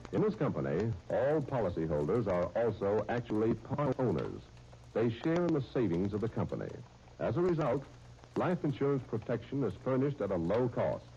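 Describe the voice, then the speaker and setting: A man's voice: fairly low pitch, warm tone. A man announcing in 1930s radio style, reading a scripted sponsor message. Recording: muffled 1939 radio disc recording. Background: music.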